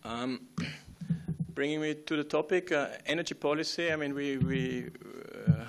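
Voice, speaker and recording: A man giving a conference talk, halting with many fillers and restarts and often clearing his throat. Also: on the high side. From Austria. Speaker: conference speaker.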